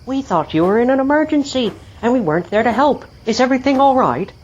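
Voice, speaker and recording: A woman's voice: a little high, animated, quick pace, a little mellow, highs cut off, a little hiss or hum, lightly acted. A woman playing a kindly, concerned long-serving rescue crane in an audio drama.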